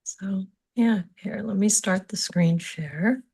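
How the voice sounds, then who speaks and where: A woman's voice: medium pitch, takes it slowly, gravelly, a little mellow. A middle-aged woman with an American accent, a physical therapist giving an informal online presentation in an open, conversational way. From Hawaii, United States. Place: indoors on a video call.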